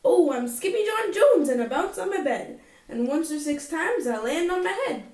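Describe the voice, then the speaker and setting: Storybook kitten, small boy, boastful and bouncy, acted by a woman; high voice, roomy distant recording. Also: lively intonation, moderate pace, a little mellow. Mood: excited, bouncy. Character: excitable little boy Siamese kitten playing Chihuahua hero.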